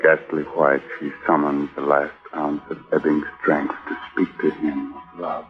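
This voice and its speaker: Deep, slow, macabre radio horror host. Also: male voice, flat delivery, soft background music. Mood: ominous. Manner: pun-laden, mock-sinister, drawn out. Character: ghoulish, genial male horror host, gleefully macabre. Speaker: host and narrator. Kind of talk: 1940s radio horror hosting. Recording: muffled old radio recording.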